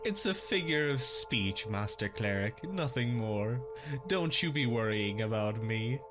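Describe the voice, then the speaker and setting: A male actor playing a sinister, fawning castle physician in a dark fantasy audio drama, unctuous and deliberate. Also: mid-range voice, lively intonation, over music, natural voice. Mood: feigned friendliness. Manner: unctuous, deliberate, chuckling menace.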